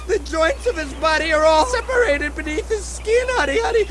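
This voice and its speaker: Puppet-show fisherman, played by a woman: very high, swooping, haunted, stammering, chant-prone, noisy crowd around. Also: lively intonation, a little fast. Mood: panicked, tearful. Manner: stammering, constantly breaking into a repeated chant. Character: terrified, ghost-haunted male fisherman, frantic and superstitious. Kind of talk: theatrical puppet-show acting.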